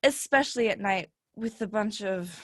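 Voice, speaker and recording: A woman playing an eager young schoolgirl in a stage play, loud and whiny. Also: medium pitch, normal speed, no echo, studio quality, close to an everyday voice. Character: eager young girl Red, Sam's classmate.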